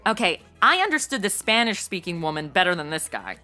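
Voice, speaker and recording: A woman's voice: on the low side, sing-song, fairly quick, bright, forceful tone, soft background music. A woman playing a sassy, shallow young party girl sidekick in a comedy audio drama, sarcastic and blunt, with modern slang. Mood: snarky.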